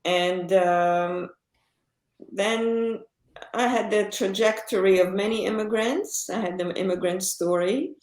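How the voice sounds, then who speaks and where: A woman's voice: mid-range voice, fairly even intonation, darkish tone, echoey room. Israeli-born woman in her sixties. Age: 60s. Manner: halting, many fillers and restarts. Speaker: author and psychotherapist, guest. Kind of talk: conversational interview.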